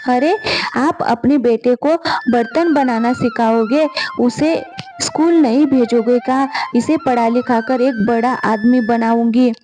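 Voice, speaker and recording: A woman's voice: on the high side, steady tone, measured pace, dark tone, faint music behind, close to an everyday voice, poor audio. A woman voicing a determined, scolding village wife and mother with an insistent manner in a moral story.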